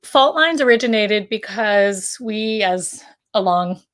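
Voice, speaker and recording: A woman's voice: mid-range voice, animated, takes it slowly, a bit bright. A middle-aged woman talking casually as a co-author, presenting a fiction podcast. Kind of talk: casual promotional talk about a story.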